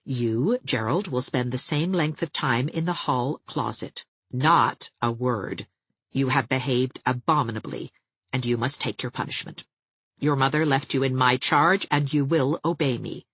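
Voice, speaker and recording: A woman reading an audiobook, voicing a young governess who speaks quietly and firmly. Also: high voice, wildly swooping pitch, a little slow, husky, no room sound, pitched above the performer's own voice, heavily acted. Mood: stern. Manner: quiet, firm. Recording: dry tinny phone-like audio.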